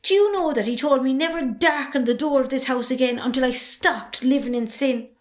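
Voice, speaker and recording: A woman's voice: high-pitched, brisk, close to an everyday voice. A young woman with an Irish accent playing a forthright, defiant daughter in an amateur drama. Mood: frank, annoyed. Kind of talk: amateur stage drama acting.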